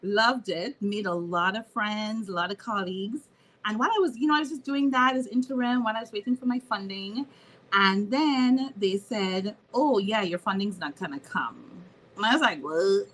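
A woman's voice: medium pitch, normal speed, rough recording. A woman from Trinidad and Tobago, a physical therapist, chatting as a guest on a podcast and laughing often as she tells stories. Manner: laughs often, animated storytelling. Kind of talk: casual interview chat.

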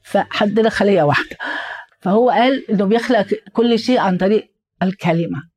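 A young adult Egyptian woman co-hosting a casual podcast, talking in an animated way with a tired, strained voice. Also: medium pitch, fairly quick. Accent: Egyptian Arabic. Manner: animated storyteller, quotes people in lively asides. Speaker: podcast co-host.

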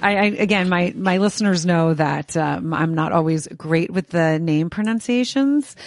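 Chatty woman podcast host, low voice. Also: moderate pace, no echo, faint music behind. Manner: chatty, self-deprecating, enthusiastic. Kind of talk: casual interview.

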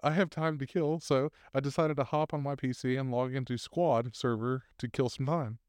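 A man's voice: mid-range voice, steady tone, moderate pace, darkish tone, clean tone, no echo, studio quality. A man co-hosting a casual podcast, reading posted stories aloud with fillers and laughs.

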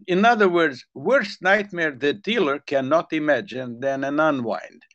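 A man's voice: a little high, measured pace, fairly bright tone, lo-fi sound. An older man with a Hungarian accent, telling long rambling stories in a casual chat. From Ukrainian Soviet Republic. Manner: rambling storyteller, repeats words when restarting.

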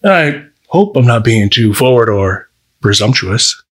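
A man voicing a sweet, confident, flirtatious man on a date in an audio story, with a husky voice and gentle, mischievous manner. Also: mid-range voice, sing-song, measured pace. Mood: mischievously flirty.

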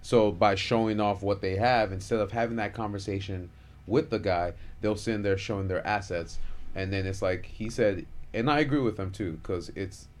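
A man's voice: lowish voice, moderate pace. A man co-hosting a casual talk show, agreeable and building on others' points. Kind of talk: casual chat.

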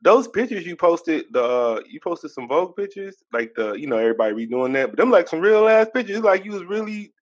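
A man's voice: on the high side, sing-song, brisk, hardly any pauses, dull audio. Laid-back slangy male interviewer. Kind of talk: casual interview.

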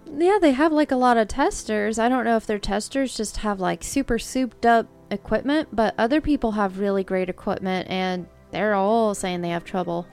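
Gravelly-voiced woman in her thirties chatting. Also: mid-range voice, normal speed, hardly any pauses, darkish tone, faint music behind. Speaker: podcast co-host. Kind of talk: casual chat.

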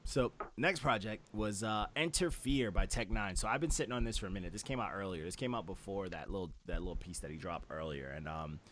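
A man with a fairly low voice hosts a casual music review. He talks in an enthusiastic, slangy style full of swearing. There is slight noise behind him and some mouth clicks. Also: brisk, few pauses, fairly bright tone. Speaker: music review show host. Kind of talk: casual album review chat.

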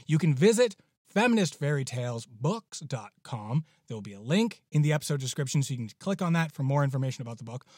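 A man's voice: medium pitch, darkish tone, dry recording, studio quality. A man hosting a podcast, giving an enthusiastic introduction in an effusive, emphatic way. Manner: effusive, emphatic with repeated words. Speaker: podcast host.

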